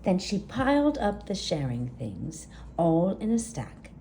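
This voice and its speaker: A woman narrates a children's story at an unhurried pace. Her voice is fairly high and mellow, with expressive rises and falls suited to storytime. There is faint noise behind her. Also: creaky, low-quality recording. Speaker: storytime reader. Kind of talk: expressive children's read-aloud, scripted narration.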